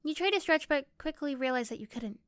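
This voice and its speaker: A woman's voice: high voice, lively intonation, a little fast, no room sound, clean studio sound. A woman reading scripted second-person story narration for a roleplay. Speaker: narrator and performer.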